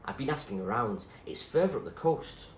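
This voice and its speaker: A man's voice: mid-range voice, expressive, moderate pace, lightly acted. A man playing an eager, loyal boy in a storybook reading, coaxing a friend in a matter-of-fact way. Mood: encouraging. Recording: echoey room with clear background noise.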